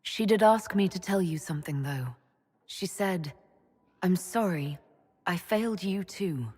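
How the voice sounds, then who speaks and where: Composed commanding fantasy game heroine, lady leader, slightly low voice, measured delivery. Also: expressive, a little mellow, husky. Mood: sober, gentle. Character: resolute woman leader of a holy order, composed and commanding. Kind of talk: dramatic game cutscene dialogue.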